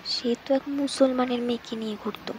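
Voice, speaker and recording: A woman's voice: on the high side, steady tone, a little fast, close to an everyday voice. A woman playing a gossiping neighbour in a crowd in an audio drama. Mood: gossipy. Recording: noisy, ambient sound around voice.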